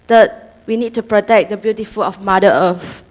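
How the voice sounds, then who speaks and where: A young woman from Malaysia presents her part of a group talk, moving through her points in a steady order. Her voice sits at a middle pitch. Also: moderate pace. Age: young adult. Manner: steady, listing points one by one. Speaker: student presenter.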